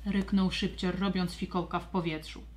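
A woman narrating a scripted children's audio story with expressive, storybook delivery. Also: on the low side, moderate pace.

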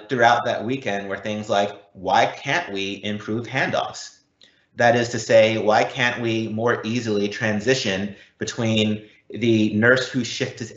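A man's voice: mid-range voice, a bit bright. A man giving a webinar presentation as a technical speaker. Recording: roomy echo, slightly dull.

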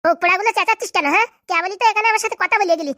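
A woman performs a domineering cartoon madam with a high, dry-sounding voice. She scolds and blusters very quickly in a rural Bengali accent, quick to anger and full of command. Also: sharp tone, no room sound. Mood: outraged. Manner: scolding, blustering, insult-laden. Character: bossy, quick-tempered madam, scolding and cursing. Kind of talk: comic cartoon dialogue.

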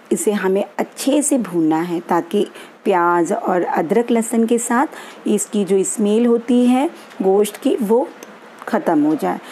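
A woman hosting a home cooking channel, calmly explaining each step of a recipe in an easygoing, instructive way. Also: medium pitch, slight background noise, poor audio. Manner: easygoing and instructive, repeats steps. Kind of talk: home cooking tutorial voice-over. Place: home kitchen.

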